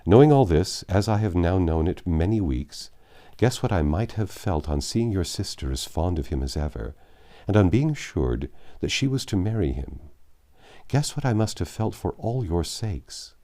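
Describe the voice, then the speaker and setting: Man reading as a deep, sorrowful English gentleman. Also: expressive. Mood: bitter, indignant. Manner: halting, earnest, formal. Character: grave, sorrowful middle-aged English gentleman confessing with restraint. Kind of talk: dramatic audiobook reading of classic novel.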